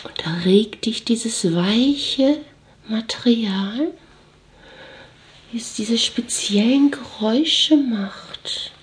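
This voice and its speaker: A woman playing a haughty mistress in an intimate audio drama, commanding and condescending, often slipping into breathy whispers. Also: a little high, extremely slow, reverberant, slight background noise. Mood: commanding, sensual. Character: haughty dominatrix mistress commanding her servant. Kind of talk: scripted German audio drama monologue, intimate.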